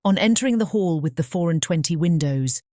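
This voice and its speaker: A woman narrating a scripted fairy tale as an audiobook, in a measured storytelling manner. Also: on the low side, lively intonation, a little mellow, no room sound, clean studio sound.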